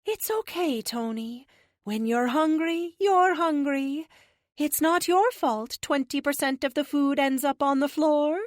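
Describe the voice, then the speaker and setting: A woman voicing a sweet, guileless alien woman with gently reassuring, drawn-out phrasing in an audiobook. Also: high-pitched, dry recording, studio quality, pitched above the performer's own voice. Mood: gentle, teasing.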